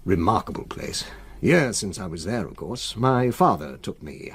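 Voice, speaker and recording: A man's voice: on the low side, big pitch swings, moderate pace, fairly bright tone, slightly rough, full character voice. An actor playing a genial, evasive senior solicitor, an older Englishman with an avuncular, hesitant manner and old-fashioned phrasing, in a radio drama. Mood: instructive.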